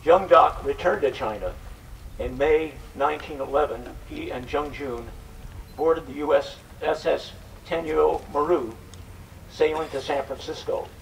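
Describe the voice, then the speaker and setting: Older guy hosting and narrating onstage, lots of fry, distant mic w faint noise. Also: on the high side, unhurried. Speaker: event presenter and narrator. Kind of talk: live introduction and scripted narration. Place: live public event.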